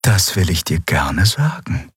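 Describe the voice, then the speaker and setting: Man playing a slow, calm male spirit mentor. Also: low-pitched, slightly rough, no room sound, studio quality, heavily acted. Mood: calm, knowing. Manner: slow, measured. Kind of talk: audiobook character voice.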